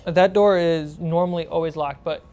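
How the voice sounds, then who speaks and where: A woman's voice: on the low side, fairly even intonation, moderate pace, warm tone. A woman running a tabletop role-playing game as game master, narrating scenes and prompting her players.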